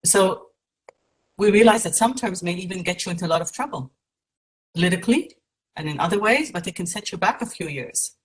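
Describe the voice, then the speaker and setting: A woman from Egypt gives a keynote lecture over a video call. Her voice sits fairly low, and she speaks in a measured way, pausing on fillers and restarts. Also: expressive, poor audio. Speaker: keynote speaker, patient advocate.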